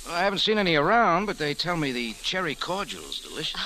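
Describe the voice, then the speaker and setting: An actor playing a tough, wry American private eye in old radio drama, laconic and sardonic. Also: male voice, a little high, big pitch swings, fast talker, bright, forceful tone, low-quality recording. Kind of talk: hard-boiled old radio detective acting. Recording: hissy old-time radio recording.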